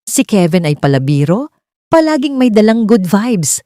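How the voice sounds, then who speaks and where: A woman's voice: medium pitch, very animated, moderate pace, mellow, no echo, studio quality. A woman reading a scripted romance story as its narrator.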